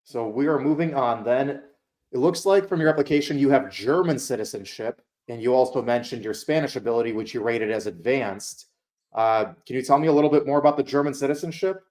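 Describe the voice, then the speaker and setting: A man conducting a mock PA school interview, asking questions in a measured, formal way. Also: medium pitch, darkish tone.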